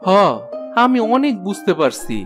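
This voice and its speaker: A woman's voice: a little high, sing-song, normal speed, faint music behind. A woman playing an exasperated village farmer husband in a cartoon, sarcastic and complaining, with a rural Bangladeshi accent. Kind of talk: cartoon voice acting.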